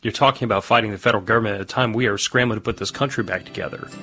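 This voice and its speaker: An actor playing a measured, skeptical man who reasons firmly in an audio drama. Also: on the low side, pushed and bright. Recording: music under the voice.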